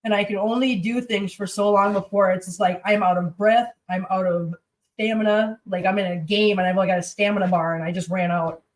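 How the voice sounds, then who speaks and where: A chatty woman at a middle pitch, cooking in her home kitchen and rambling to her viewers and her pets. She sounds congested. Her voice sits a little back from the mic. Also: steady tone, fairly quick, far from the mic, low-quality recording. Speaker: cooking livestream host. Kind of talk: casual chat while cooking.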